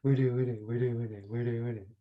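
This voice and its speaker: A woman's voice: rumbling low, fairly even intonation, normal speed, narrow, tinny audio. A woman co-hosting a live book reading with silly, playful banter and sudden exclamations. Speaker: co-host of a live storybook read-along. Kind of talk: casual joking livestream chat.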